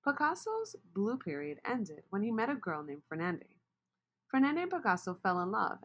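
A woman reading a children's picture book aloud, expressive and asking the listener questions. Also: lowish voice, slight background noise. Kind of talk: read-aloud storybook narration.

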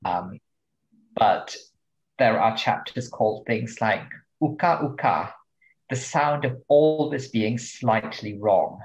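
A man's voice: on the low side, animated, slow, lo-fi sound. A male translator guest talking about books in a thoughtful, hesitant way with many ums. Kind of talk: casual podcast interview.

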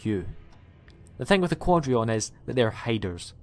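A man's voice: mid-range voice, big pitch swings, normal speed, a little mellow, natural voice. A man playing an eccentric, chatty time-travelling alien in an audio drama, with a rambling, quirky way of talking. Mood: earnest, explaining. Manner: rambling, self-correcting, quirky. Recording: music under the voice.

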